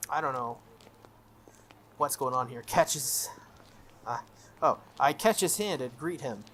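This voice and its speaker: A video game player reading a visual novel aloud, with expressive, casually sweary commentary. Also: mid-range voice, slow pace.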